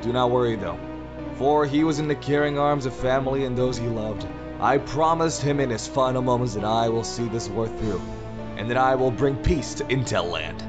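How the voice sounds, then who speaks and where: A man's voice: medium pitch, moderate pace, natural voice. Man playing a solemn, oratorical new president over music. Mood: solemn, reassuring. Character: zealous new president declaring himself dictator for life. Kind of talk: audio drama political speech.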